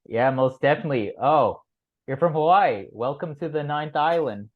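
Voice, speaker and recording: A man's voice: a little high, lively intonation, moderate pace. Laid-back man on a tinny line. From California. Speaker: podcast host. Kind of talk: casual interview chat. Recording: tinny phone-like sound.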